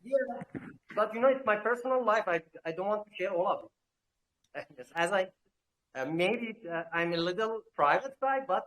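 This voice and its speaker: A man's voice: high-pitched, expressive, normal speed, a bit bright, poor audio. Hesitant man full of filler sounds. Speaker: crypto project representative. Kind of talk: live online AMA answers.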